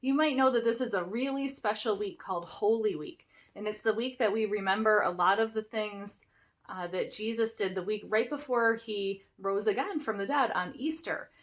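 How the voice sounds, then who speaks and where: A woman's voice: mid-range voice, normal speed. A woman presenting an informal church message to children, encouraging them and explaining things simply. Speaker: children's ministry presenter and puppeteer.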